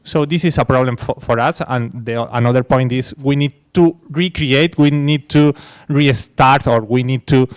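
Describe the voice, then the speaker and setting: A man's voice: medium pitch, moderate pace, few pauses, clean tone. A man from Spain, an English speaker, talking on a conference panel with many fillers and restarts. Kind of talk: academic panel discussion.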